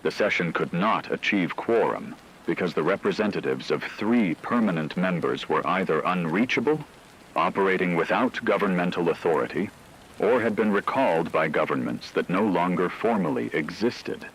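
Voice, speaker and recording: A man playing a grave radio newsreader in a fictional broadcast, reading scripted reports in a formal, measured, solemn way. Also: sits low, animated, fairly bright tone, slight background noise, poor audio. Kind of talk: scripted news narration.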